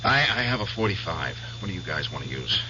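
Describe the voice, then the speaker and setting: An actor playing an obsessed, vengeful middle-aged war veteran in an old-time radio drama, clipped, sardonic and deliberate. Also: male voice, low-pitched, very animated, noisy background. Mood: intense, resolved. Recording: dull lo-fi radio drama recording.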